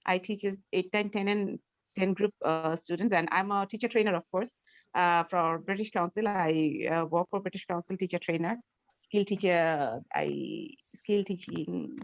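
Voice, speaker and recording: A woman who teaches and trains teachers joins an online round table. She talks haltingly and repeats words as she searches for them. Her audio is dry, with no room sound. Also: fairly low pitch, no room sound.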